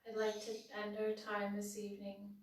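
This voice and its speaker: Soft-spoken woman guiding meditation, very flat. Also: mid-range voice. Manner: slow, soothing, evenly paced instructions. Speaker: yoga teacher. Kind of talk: guided meditation. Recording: very roomy, from far off.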